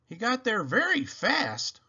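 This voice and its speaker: A man narrating a children's storybook in an expressive read-aloud style. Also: very high voice, slow pace, breathy edge, muffled recording. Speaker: storybook reader.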